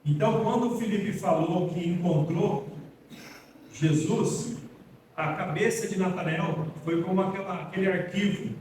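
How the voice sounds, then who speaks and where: A man's voice: high-pitched, measured pace, darkish tone, slight background noise. A man preaching an earnest, plainspoken sermon to his congregation. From Brazil. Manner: earnest, plainspoken preaching, addresses listeners as brothers. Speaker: pastor. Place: church hall. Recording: echoey hall, voice from far off.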